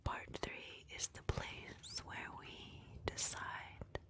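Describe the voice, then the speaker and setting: Whispering woman, slow ASMR host. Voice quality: breathy whisper. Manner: softly deliberate, instructive, step-by-step. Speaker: livestream host of a murder mystery roleplay. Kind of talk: whispered ASMR roleplay narration with audience prompts.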